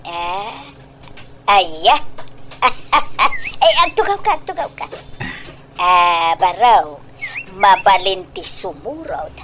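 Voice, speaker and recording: A woman playing a scheming, greedy, pushy middle-aged mother in a comedy radio drama, gushing with drawn-out exclamations in an Ilocano accent. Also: mid-range voice, sing-song, noisy background. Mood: gushing, flattering.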